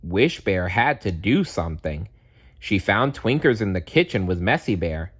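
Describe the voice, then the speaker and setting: Slow-paced man reading a children's storybook. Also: deep voice, big pitch swings, fairly bright tone, slightly rough. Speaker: storybook reader. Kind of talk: children's read-aloud narration.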